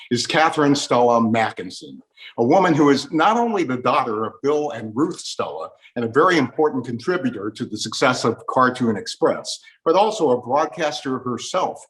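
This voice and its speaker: A man's voice: medium pitch, poor audio. A man with an American accent hosting a talk program, delivering scripted introductions like a radio presenter. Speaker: host.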